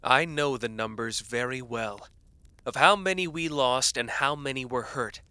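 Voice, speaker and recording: A man playing a calm, fair-minded royal ruler in an audio drama, gracious and measured in his formal speech. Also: medium pitch, clean tone, dry recording. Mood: calm, conciliatory. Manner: measured, diplomatic. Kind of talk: audio roleplay drama acting.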